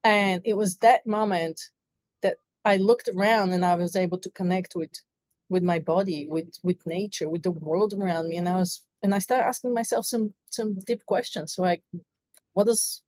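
A woman's voice: fairly low pitch, moderate pace, a little mellow, no room sound. Woman with a Bulgarian accent, dry and close. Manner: earnest, reflective storytelling. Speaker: podcast guest. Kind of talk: interview conversation.

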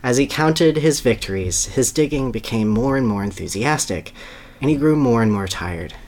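Podcast story reader, mid-range voice, animated and amused, comfortable dropping into commentary. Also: medium pitch, moderate pace. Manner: amused, breaking into asides. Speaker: podcast co-host. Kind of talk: dramatic reading of a children's story.

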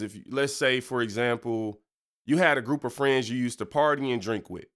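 A man's voice: mid-range voice, clear, resonant tone. Guy with a stuffy nose doing a chill faith podcast, lots of slang and "you know what i'm saying". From Michigan. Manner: relaxed slangy talk with many fillers. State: congested. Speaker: podcast host and preacher. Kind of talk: casual devotional podcast talk.

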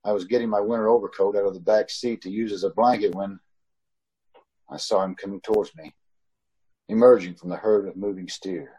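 A man's voice: lowish voice, steady tone, fairly quick, mellow, husky, low-quality recording. A man playing a desperate, haunted driver, narrating a radio suspense drama in a dramatic, pleading manner. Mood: haunted, grave. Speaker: actor in an old-time radio troupe. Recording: amateur video call recording.

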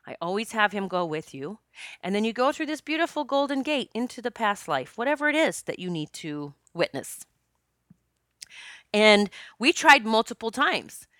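Fifty-something lady chatting about past lives, jokey, clear bright voice. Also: mid-range voice, no room sound, clean studio sound. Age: 50s. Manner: chatty, joking, storytelling with quoted dialogue. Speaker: past life regression practitioner. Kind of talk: casual spiritual monologue.

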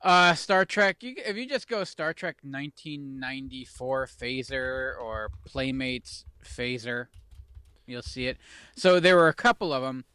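A man's voice: medium pitch, lively intonation, measured pace, bright, forceful tone, clear, resonant tone, dry recording. A man in easygoing casual chat, talking things through with lots of fillers. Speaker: podcast co-host.

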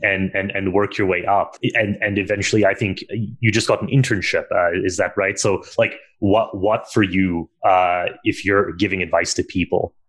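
A man's voice: low voice, fairly even intonation, moderate pace, few pauses, fairly bright tone, slightly rough. A man co-hosting an interview over a video call, asking long, searching questions with fillers. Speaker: co-host, managing editor.

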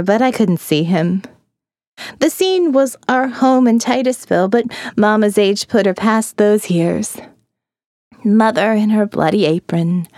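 A woman narrating a first-person horror audiobook, slow and hushed, often dropping to a whisper. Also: medium pitch, dry recording, studio quality.

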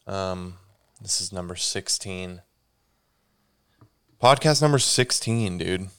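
A man's voice: low voice, animated, takes it slowly, clean tone. A young man hosting a casual solo podcast, stuffy and congested, rambling and correcting himself as he goes. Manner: rambling, self-correcting, says "dude" a lot. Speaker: podcast host.

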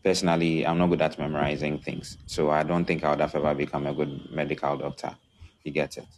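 A man with a very low voice calls in to a live talk, laying out his ideas in numbered steps. He keeps asking whether listeners get it, on a remote line with a slight hiss behind him. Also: a little mellow. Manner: methodical, numbers his points and checks understanding. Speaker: caller giving input. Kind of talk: casual explanatory talk. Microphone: remote call-in line.

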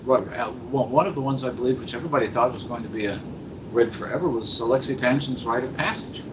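A man's voice: medium pitch, fast, distant mic. Older man thinking aloud on a noisy line. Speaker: podcast co-host. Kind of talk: casual discussion. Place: live convention recording over hotel internet. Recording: muffled, noisy internet call.

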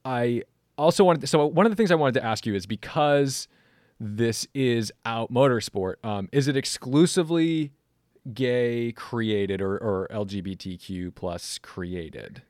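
A man's voice: medium pitch, animated, unhurried, darkish tone, no echo, clean studio sound. Chatty caffeinated man interviewer. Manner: chatty, rambling, lots of fillers and laughs. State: caffeinated, energetic. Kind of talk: casual interview chat.

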